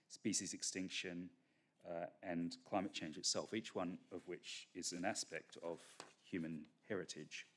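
A middle-aged man speaks at a podium mic in a large conference hall, his voice low and deep. He lectures in a measured academic way, often pausing on fillers and restarting his phrases. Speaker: keynote speaker. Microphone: podium microphone.

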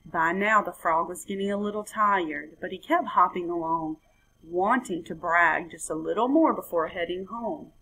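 A woman's voice: lowish voice, normal speed, pushed and bright, slightly rough, poor audio. A woman in her thirties reading a children's story aloud expressively, recorded at home on a computer mic. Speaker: storybook reader. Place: indoor room at home. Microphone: webcam or laptop mic.

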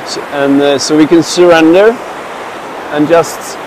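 Slow halting Swedish man by a river. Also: on the high side. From Sweden. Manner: slow, halting, many fillers and restarts. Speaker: traveler and meditation practitioner. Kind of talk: casual spoken account to camera. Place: outdoors by a mountain river. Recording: rushing river noise behind.

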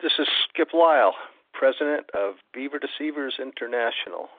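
A man's voice: mid-range voice, expressive, normal speed, dry recording. A man doing a scripted reading of expository prose. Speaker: company president reading aloud. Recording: tinny phone-like audio.

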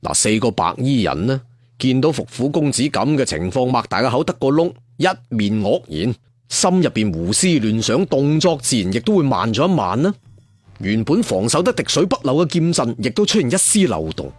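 A man's voice: mid-range voice, no room sound. A man with a Cantonese accent narrating a martial arts story dramatically, with conversational asides. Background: faint music.

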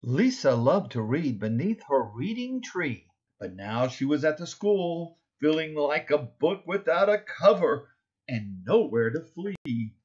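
A middle-aged man reads a children's storybook in a fairly high voice with very lively pitch. He is deliberate and expressive, leaning on key words in the rhyme. The home recording is slightly dull. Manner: deliberate, rhyming read-aloud with stressed key words. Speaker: author reading his own picture book.

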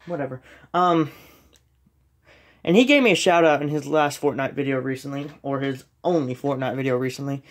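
A young man with a slight cold, casually commenting while he plays a game at home, rambling and self-deprecating with many ums. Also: on the high side. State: sniffly with a cold. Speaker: gaming channel host. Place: dark room at home, filming a TV screen.

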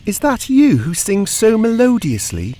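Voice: medium pitch, huge pitch swings, a little slow, warm tone, slight background noise. An actor voicing an arrogant, curious young boy prince in an exclamatory cartoon story style. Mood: haughty. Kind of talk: children's cartoon story acting.

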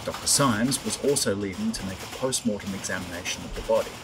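A man's voice: on the low side, quick pace. A man formally reading out an old newspaper report. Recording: clearly noisy background ambience.

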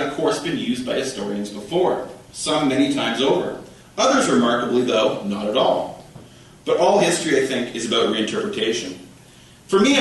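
A man in his thirties from Ontario with a Canadian accent, reading a prepared history lecture at a podium, with a measured, formal delivery and occasional dry asides. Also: mid-range voice, fairly bright tone. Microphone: podium microphone, recorded from the room. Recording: echoey hall, distant from mic.

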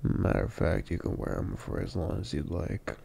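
A young man speaking slowly and softly in an ASMR boyfriend roleplay, murmuring sleepily and humming between lines. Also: low voice, lively intonation, vocal fry, a little hiss or hum. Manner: sleepy, soft, murmuring with many hums.

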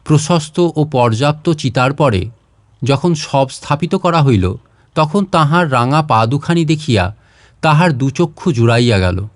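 A man narrates literary prose with dramatic care. His voice sits a little high, and he moves through phrases in a measured, expressive way with pauses between them. The recording is close and dry. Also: no echo. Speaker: storyteller reading literary fiction.

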